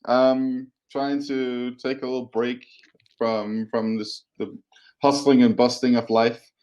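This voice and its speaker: A man talking as an academic podcast co-host, explaining things informally in a halting, thoughtful way. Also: mid-range voice, level tone, darkish tone, dull audio.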